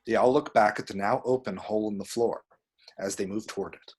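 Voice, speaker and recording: A man reading screen directions aloud as expressive scripted narration. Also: lowish voice, brisk, husky. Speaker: reader of action descriptions.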